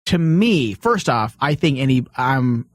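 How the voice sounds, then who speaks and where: A man's voice: medium pitch, animated, unhurried, dry recording. A man co-hosting a talk show, debating casually and jokingly in an informal, rambling way.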